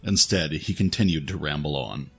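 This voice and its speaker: A man reads dramatic fiction as the narrator of an audio story. His voice is low, and faint music plays behind it. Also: normal speed, a bit bright, husky. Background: faint background music.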